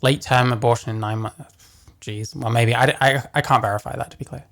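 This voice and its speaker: A man with a deeper voice giving casual reaction commentary, blunt and profane as he rants. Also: steady tone, fairly quick, gravelly, fairly bright tone. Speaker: commentary host.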